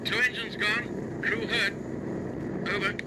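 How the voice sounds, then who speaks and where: A man's voice: high-pitched, little pitch movement, takes it slowly, very noisy. An actor playing a dutiful bomber airman in a radio war drama, reporting in terse, clipped military phrases. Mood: grim, terse. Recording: old radio recording, loud engine drone.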